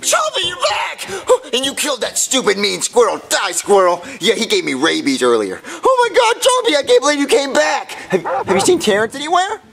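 Puppet comedy kid, played by a man: naive, fretful, hesitant, repeats himself, lively swooping delivery over music. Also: very high-pitched, moderate pace, gravelly, hardly any pauses, heavily acted. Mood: excited, relieved. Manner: hesitant, stammering, repeats phrases when worried. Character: whiny, anxious, naive little puppet boy with pets. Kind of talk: scripted puppet comedy acting.

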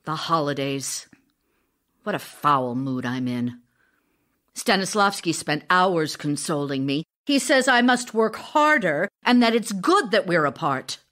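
A woman's voice: low-pitched, lively intonation, a little slow, fairly bright tone. A woman playing a vivacious, self-dramatizing actress wife in an audio drama, effusive and teasing, devoted yet restless. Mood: fretful, dramatic. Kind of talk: audio drama acting, reading love letters.

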